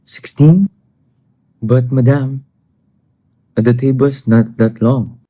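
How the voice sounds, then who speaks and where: A man's voice: medium pitch, steady tone, takes it slowly, dark tone, no room sound. A man playing a deferential household butler in a stage comedy, speaking with stiff, formal politeness. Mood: aghast. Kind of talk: theatrical stage comedy acting.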